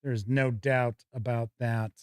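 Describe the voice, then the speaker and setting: A man's voice: mid-range voice, level tone, takes it slowly, smooth, no echo, clean studio sound. A man hosting a tabletop gaming show, giving chatty, casual live commentary as he looks over products and talks with viewers.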